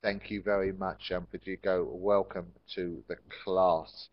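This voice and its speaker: A man with an English accent teaching an online class in a measured, polite and encouraging way with simple wording. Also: low voice, flat delivery, dry recording. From England. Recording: dull, lo-fi online call audio.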